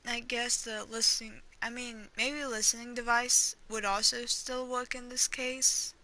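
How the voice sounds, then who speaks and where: A woman's voice: fairly high pitch, little pitch movement, a little slow, bright, forceful tone, rough recording. A woman giving casual gameplay commentary, thinking aloud in a hesitant, rambling way and trailing off mid-thought. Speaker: gamer reacting and theorizing during a playthrough.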